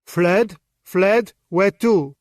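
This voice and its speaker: A stern detective snaps out his questions with cutting irony, as a male reader puts him on. The voice sits a little high and loud, the delivery slow and deliberate. The recording is dry and close. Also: no echo. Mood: commanding. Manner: sharp, commanding, ironic. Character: stern sharp-tongued male French detective.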